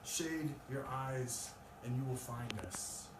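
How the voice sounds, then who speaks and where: A man's voice: mid-range voice, fairly even intonation, slow pace. A man speaking live at a poetry reading, as a poet presenting his own work. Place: live event in a room with an audience. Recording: distant mic, echoey room, loud noise.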